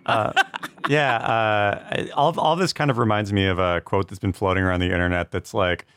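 A man's voice: fairly low pitch, fairly even intonation, normal speed, a bit bright, clean tone, no echo, studio quality. A man, a comedian and guest host, cracking jokes in hesitant casual chat. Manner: jokey, hesitant with "uh" and "um".

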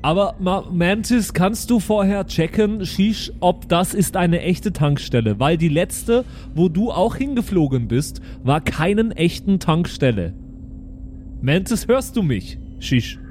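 A man puts on an excitable, naive alien son for an improvised audio play. His voice sits a bit high and swings widely in pitch. He talks in childlike broken grammar with a recurring verbal tic, over faint noise. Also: unhurried. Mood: insistent.

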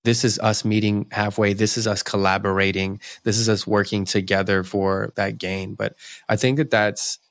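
A man hosts an interview, working through long, thoughtful questions and recaps. His voice is low-pitched. The sound is dry and close, with no room around it. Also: flat delivery, moderate pace, dry recording. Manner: reflective, long-winded questions. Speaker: podcast host. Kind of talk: interview hosting.

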